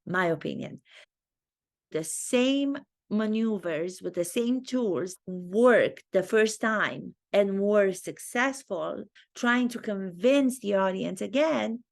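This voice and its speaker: Woman, Romanian accent, native Romanian speaker, acting teacher, reflective commentary, mid-pitched voice. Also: expressive, slow, creaky. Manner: thinks aloud, earnest and reflective. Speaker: acting teacher and video host. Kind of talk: talking-head commentary video.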